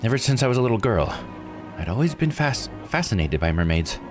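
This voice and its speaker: A man voicing a weary, regretful woman diver in a visual novel, measured and confiding. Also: mid-range voice, big pitch swings, pitched above the performer's own voice, natural voice. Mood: wistful. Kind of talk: reading visual novel dialogue aloud. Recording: music under the voice. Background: game music.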